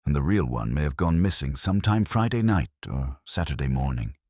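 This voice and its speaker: Man playing inquisitive police sidekick, low husky voice, plain-spoken, animated, mystery story. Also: a little fast, no room sound, studio quality, full character voice. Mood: keen. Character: keen, questioning male detective sidekick.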